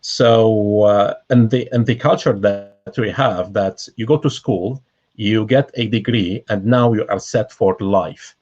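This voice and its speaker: Husky low-voiced man on a call. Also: a little slow, a little mellow. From Lebanon. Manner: earnest, explaining with many restarts. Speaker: guest. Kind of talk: casual conversation. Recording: dull lo-fi call audio.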